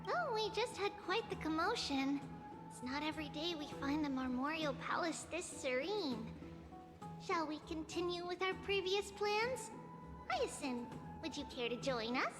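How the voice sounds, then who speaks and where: A woman's voice: sits high, expressive, a little slow, music in the background. A woman voicing a small, cheerful childlike girl demigoddess in a video game, with a playful, lilting delivery.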